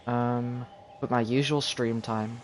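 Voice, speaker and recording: A man's voice: mid-range voice, fairly even intonation, slow pace, slight background noise. A man streaming a video game, chatting casually and thinking aloud as he plays. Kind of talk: casual gameplay commentary. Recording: game music loud behind.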